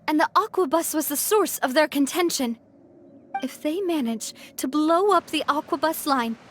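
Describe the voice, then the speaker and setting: A woman's voice: very high voice, fairly bright tone, slight background noise. An actress voicing a kind, responsible young woman who leads a close-knit band in a video game. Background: faint music.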